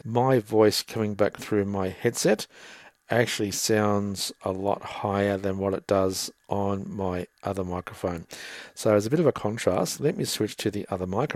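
A man with a low voice talks through a podcast gear demo in a plain, methodical way, explaining each part as he goes. The sound is close and dry, with little room tone. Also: a little mellow, no room sound. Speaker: gear reviewer. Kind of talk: casual product demo. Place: room with air conditioner running. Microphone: headset boom mic, then desktop dynamic mic.

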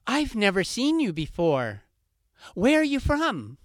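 A man voicing a spoiled, smug young vixen in a storybook tale, calling out in a high, excited voice. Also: measured pace, no room sound, clean studio sound, heavily acted. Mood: pushy.

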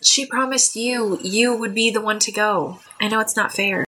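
A woman's voice: mid-range voice, normal speed, bright, forceful tone, husky, low-quality recording. A woman acting a considerate, apologetic younger sister, a young adult, earnest and hesitant, in a modern audio drama.

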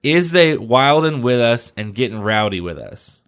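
Man, casual podcast chat, halting delivery, average pitch. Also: lively intonation, a bit bright, clear, resonant tone. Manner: halting, many restarts and fillers. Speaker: podcast co-host.

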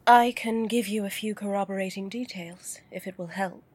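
A woman playing a guarded, alluring female client with a dangerous past in a noir audio drama, speaking softly and with measure. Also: medium pitch, normal speed, husky, lightly acted.

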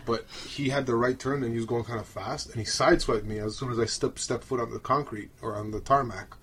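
A man's voice: mid-range voice, steady tone, brisk, fairly bright tone, husky. A man from Romania who moved to Canada as a child, co-hosting a podcast with rambling, colloquial personal stories. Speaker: podcast host. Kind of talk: casual chat, personal storytelling.

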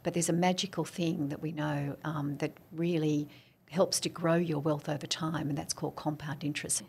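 A woman co-hosting a money podcast in an easy, chatty way, dropping "you know" as she goes. Her voice sits a little low for a woman. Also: little pitch movement, normal speed, gravelly, a little mellow, slight background noise. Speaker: podcast co-host. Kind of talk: podcast interview, warm conversational hosting.

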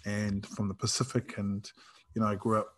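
A man with a New Zealand accent in casual conversation, reflective and halting, often rephrasing as he goes. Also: fairly low pitch, level tone. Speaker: karate practitioner in discussion.

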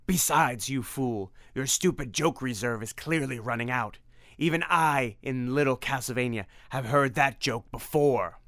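A man voicing a gloating male vampire lord who has taken over a hero's body, speaking slowly with mocking menace. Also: medium pitch, fairly bright tone, dry recording, clean studio sound. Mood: scornful.

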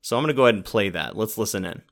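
A man with a fairly low voice explains a true crime case in an easy, conversational commentary. He lays out his reasoning plainly, like he is talking to a listener one on one. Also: animated, racing, a bit bright. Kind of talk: casual commentary.

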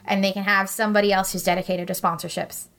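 A woman talks as a guest on a podcast. She is earnest and articulate, sharing opinions in a casual conversational way. Her voice sits at a middle pitch. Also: level tone, fast talker, fairly bright tone.

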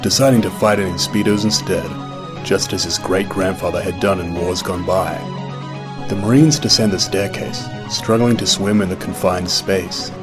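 A man's voice: deep voice, fairly even intonation, moderate pace, over music. A man delivering scripted, mock-epic narration for a radio drama. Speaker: podcast host narrating.

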